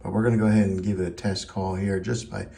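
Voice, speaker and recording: A man's voice: deep voice, level tone, quick pace, creaky, dark tone. A man presenting a casual hands-on tech review, explaining things step by step in a plain-spoken, conversational way. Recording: echoey, roomy indoor sound.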